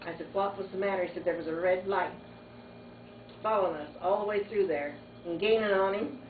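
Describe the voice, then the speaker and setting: Older female, mid-pitched, casual family storyteller, far from the mic in a noisy room. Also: fairly quick. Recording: muffled phone-like audio, echoey, distant, noisy room.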